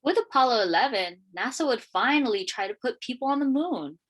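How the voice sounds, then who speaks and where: A woman reading a children's picture book aloud in an expressive, deliberate storytelling manner. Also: a little high. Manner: expressive, questioning lifts and deliberate phrasing. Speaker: read-aloud storyteller, teacher.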